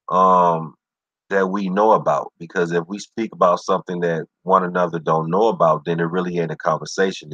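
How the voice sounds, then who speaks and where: Deep voiced guy on his stream, laid back rambling, dry dull mic sound. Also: a bit bright, no room sound. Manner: loose, rambling, full of "you know what I'm saying"; easygoing, encouraging. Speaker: livestream show host. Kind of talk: casual livestream talk.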